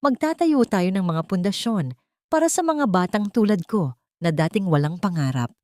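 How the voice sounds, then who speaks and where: A woman's voice: lowish voice, animated, moderate pace, mellow, no room sound, close to an everyday voice. A woman plays a young heiress in a romantic audio drama, devoted and resolute. She speaks with tender earnestness, in a very dry recording.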